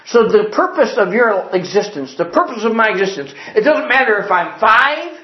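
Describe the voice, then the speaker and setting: A man's voice: sits high, sing-song, normal speed. A man preaching a sermon in an earnest, emphatic way, repeating his key phrases and quoting old-fashioned scripture wording. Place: church building. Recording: echoey, dull lo-fi sound.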